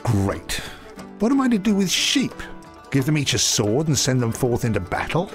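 An actor playing an earnest young lord speaking formally in a game cutscene. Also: male voice, mid-range voice, wildly swooping pitch, normal speed, music in the background. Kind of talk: video game cutscene acting.

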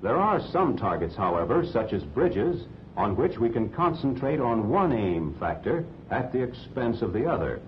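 An American man reading scripted instructional narration for a 1940s training film in a measured, didactic way. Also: big pitch swings. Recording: dull 1940s film soundtrack, rumbling noise.

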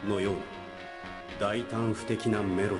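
An actor playing a haughty young prosecutor in a comic courtroom skit, with a formal, pompous, dramatic delivery. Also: male voice, on the low side, little pitch movement, normal speed, close to an everyday voice. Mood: solemn, grandiose. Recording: reverberant concert hall, faint music.

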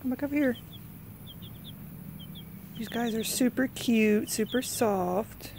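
Woman filming her pets on a phone out in the yard, bit of vocal fry, chatty, faint outdoor noise. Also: a little high, slow, a little mellow. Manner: chatty, coaxing pets in a sing-song. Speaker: vlogger. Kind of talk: casual chat. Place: backyard lawn outdoors. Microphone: handheld phone camera.

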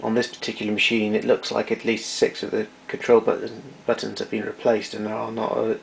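A man's voice: fairly low pitch, vocal fry, a little hiss or hum, rough recording. A man giving an unscripted technical demonstration in a matter-of-fact, somewhat hesitant way. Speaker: demonstrator of vintage tape recorder.

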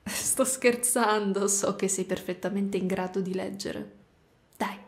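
A woman voicing a gentle, doting spider-woman caretaker in a soft, cooing ASMR roleplay. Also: mid-range voice, fairly even intonation, unhurried, close to an everyday voice. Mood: playful, caring. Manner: soft, cooing, tender, sometimes whispering.

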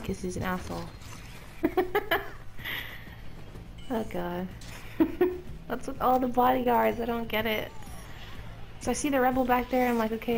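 A woman's voice: mid-range voice, a little hiss or hum, low-quality recording. A woman giving casual gameplay commentary in a sweary, exasperated way, chuckling often, with a slightly sick, sore-throated voice.

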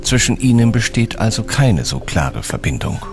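A man with a fairly low voice narrates a documentary from a script. His delivery is measured and serious, and music plays clearly behind him. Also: sing-song. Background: background music.

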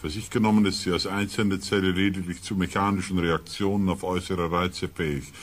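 An actor playing a sceptical, commanding expedition scientist in a science-fiction radio play, firm and matter-of-fact. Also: male voice, sits low, fairly even intonation, a little fast.

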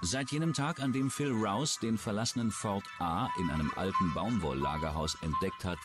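Man, deep voice, scripted true-crime narration, loud music underneath. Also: normal speed. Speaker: documentary narrator. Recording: music behind the voice. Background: loud background music.

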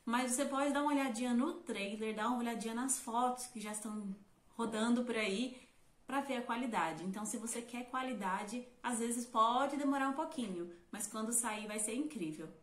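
A woman's voice: a little high, normal speed. A young woman with a Brazilian accent, a fan dub voice actress giving an upbeat, gushing testimonial to camera in a small room. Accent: Brazilian Portuguese. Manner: upbeat, gushing, lots of "incrível". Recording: roomy echo.

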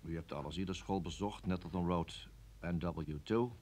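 An actor playing a cool, authoritative security service interrogator in a radio play, formal, measured and curtly polite. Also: male voice, sits low, darkish tone. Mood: measured, accusing. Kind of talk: Dutch radio play acting.

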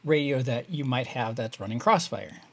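A man's voice: medium pitch, expressive, normal speed, no room sound. A middle-aged man with an American accent hosting a casual tutorial, explaining things step by step in a conversational way. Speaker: video tutorial host.

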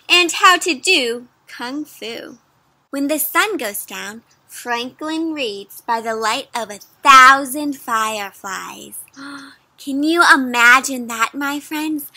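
A woman in her twenties reads aloud to young children in a high, very bright voice. Her delivery is animated and sing-song, and she keeps turning to her young listeners with questions as she goes. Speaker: children's storybook reader and host. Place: outdoors in a leafy yard.

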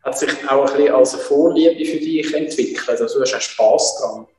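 A man's voice: mid-range voice, normal speed, darkish tone. Swiss German male interviewer in reverberant room. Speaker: interviewer, host. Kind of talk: casual interview questioning. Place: indoor martial arts training room. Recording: echoey room reverb, music behind.

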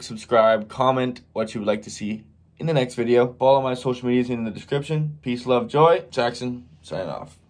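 A hoarse young man rambles in easygoing slang, his voice low and gravelly. He talks into a phone held at arm's length in a small bedroom. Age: young adult. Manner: laid-back, slangy, rambling. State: hoarse after a night out. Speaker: vlogger. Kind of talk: casual vlog talk to camera. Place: bedroom, indoors.

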